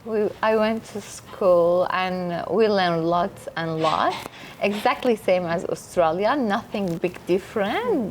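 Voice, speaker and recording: Iraq-born woman, slow simple english, laughs a lot, soft music behind. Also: fairly low pitch. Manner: simple non-native English, frequent laughter. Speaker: interview guest. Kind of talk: casual interview answers.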